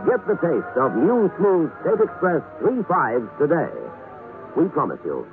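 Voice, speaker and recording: A man's voice: fairly high pitch, wildly swooping pitch, unhurried. A man announcing for a 1960s radio sponsor with dramatic, polished salesmanship. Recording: tinny band-limited old radio recording. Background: music.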